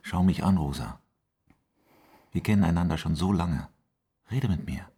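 A man's voice: moderate pace, dark tone. An actor playing a grave, insistent policeman in a radio drama, questioning with restraint. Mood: pressing, concerned. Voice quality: very deep, husky. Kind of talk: naturalistic German radio drama dialogue.

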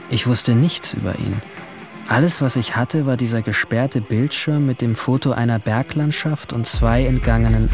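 A man's voice: mid-range voice, natural voice. A young man playing a brooding teenage boy who narrates his own story in subdued, introspective short phrases. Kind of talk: first-person scripted drama narration. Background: music.

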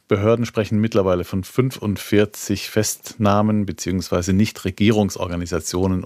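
Low-voiced male radio news anchor. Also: darkish tone, dry recording, studio quality. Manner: smooth, lightly conversational anchor. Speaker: news presenter.

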